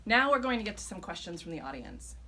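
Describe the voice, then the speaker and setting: A woman voicing a brisk, slightly condescending male contest moderator in a live novel reading. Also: on the low side, sing-song, bright, forceful tone, exaggerated voice. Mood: brisk, announcing. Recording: live event with background noise.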